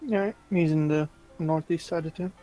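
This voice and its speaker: A man's voice: fairly high pitch, steady tone, normal speed, dark tone, highs cut off, soft background music. A man talking casually as a player in a tabletop roleplaying game.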